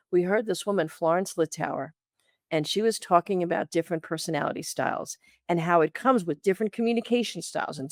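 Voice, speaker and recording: A woman's voice: medium pitch, no room sound. Dry-sounding woman from the Bronx, interview guest. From the Bronx, New York, United States. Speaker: interview guest, leadership coach. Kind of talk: conversational interview.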